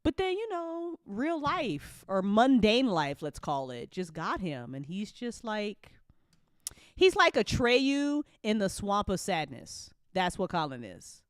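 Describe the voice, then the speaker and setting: A woman in a casual group chat, talking in an animated, jokey way and exclaiming often. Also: medium pitch, slow, no echo, studio quality. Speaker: host of audio drama after show.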